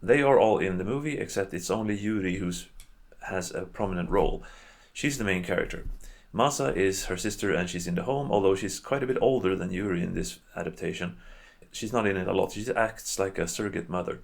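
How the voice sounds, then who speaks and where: A man with a very low voice and clear vocal fry talks through his material as a video host. His delivery is casual and unscripted, halting as he searches for words and rambling with small qualifications. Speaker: video host reviewing games and adaptations.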